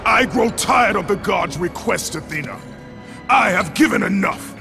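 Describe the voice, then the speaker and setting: An actor playing a grim, weary warrior with a gruff, gravelly, defiant voice, in a game cutscene. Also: male voice, sits high, lively intonation, a little slow, music in the background, exaggerated voice. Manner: gruff and defiant, shouting at times.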